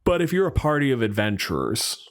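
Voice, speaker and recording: A man's voice: medium pitch, sing-song, brisk. A man co-hosting a podcast in casual chat, arguing his points back and forth with qualifications. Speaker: podcast co-host. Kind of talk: casual chat about tabletop game monsters.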